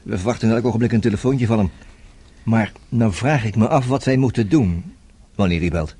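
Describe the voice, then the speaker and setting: An actor playing a brash, hot-tempered journalist who talks bluntly and sardonically in a radio drama. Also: male voice, on the low side, a little fast, mellow, breathy edge. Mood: uneasy.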